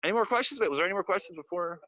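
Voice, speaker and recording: A man's voice: sits high, animated, racing, pushed and bright, no room sound. A man guiding a live virtual walking tour outdoors, chatty and rambling, talking into a phone. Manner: rambling, chatty, self-interrupting. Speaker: tour guide. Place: outdoor city street and cafe. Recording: dull, lo-fi video call audio.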